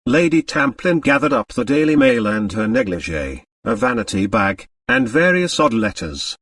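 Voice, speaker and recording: A man's voice: mid-range voice, big pitch swings, moderate pace, a bit bright, no room sound, clean studio sound, far from the mic. A man narrating a novel as an audiobook, reading scripted prose expressively.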